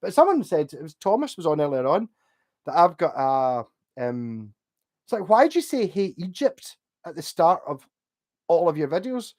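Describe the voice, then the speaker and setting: A man's voice: on the high side, very animated, normal speed, darkish tone. Glaswegian male streamer, loose rambling chat, casual swearing, answers viewer comments. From Glasgow, Scotland. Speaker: livestream host.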